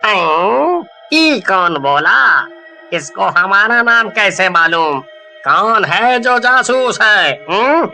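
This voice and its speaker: A man's voice: high voice, animated, slow, gravelly, bright, forceful tone, faint music behind, exaggerated voice. A man voicing a cheeky, thieving village junk dealer in a horror story, brash and mock-innocent. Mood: puzzled. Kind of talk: Hindi animated horror story acting.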